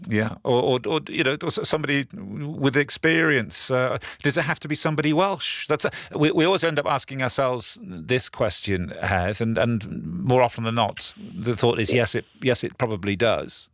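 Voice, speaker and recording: A man's voice: mid-range voice, lively intonation, a bit bright, no echo. Dry-sounding male radio phone-in host. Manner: conversational, halting restarts. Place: radio studio. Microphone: studio microphone.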